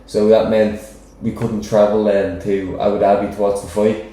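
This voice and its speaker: A young Dublin man talks in a loose, rambling way and keeps checking in with the listener. His voice sits fairly low and sounds dark and mellow. It is recorded in a very echoey room. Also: level tone, moderate pace. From Ireland. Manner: rambling, frequent "do you know what I mean". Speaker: professional boxer, guest. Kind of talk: casual interview chat.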